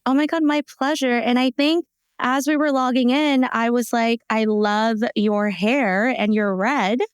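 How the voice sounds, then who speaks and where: A woman's voice: a little high, steady tone, measured pace, clear, resonant tone, no room sound, studio quality. A young American woman hosting a podcast interview in a casual, slangy, affirming way, asking questions conversationally. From Texas, United States.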